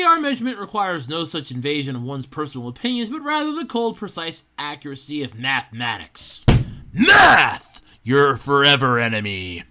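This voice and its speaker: A podcast host reading out an article with sarcastic, animated delivery and casual, profane asides. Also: medium pitch.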